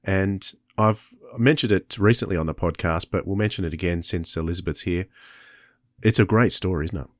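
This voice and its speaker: A man's voice: deep voice, brisk, no echo, studio quality. A man co-hosting a podcast, chatting casually. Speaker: podcast co-host.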